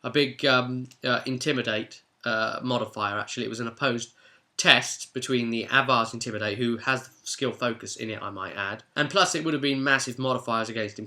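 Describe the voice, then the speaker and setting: A British man with a bright, forceful voice at a middle pitch tells the story of a tabletop role-play session. He talks as the game master, chatty and animated, in a casual recap style.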